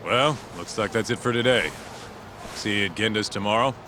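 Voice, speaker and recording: A man's voice: fairly low pitch, moderate pace, background noise, close to an everyday voice. A male actor playing a brash, easygoing tough-guy sidekick in a video game cutscene, blunt and slangy. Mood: easygoing, jokey. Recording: music behind the voice. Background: background music.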